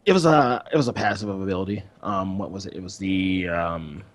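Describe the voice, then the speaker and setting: A man's voice: low-pitched, a little fast, noisy background. A man co-hosting a casual gaming podcast, giving laid-back, brief conversational replies. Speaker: podcast co-host.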